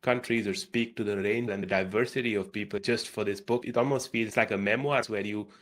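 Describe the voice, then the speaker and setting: Male podcast host, low voice, thoughtful probing questions, video call. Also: fairly even intonation, a little fast. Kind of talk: interview questions.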